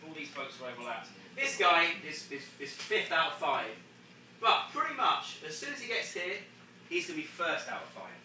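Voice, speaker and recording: A man's voice: a little high, very animated, normal speed, sharp tone, far from the mic. A man coaching a group of sailors through a race debrief, explaining casually with many restarts and laughs. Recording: echoey room, from afar, faint noise.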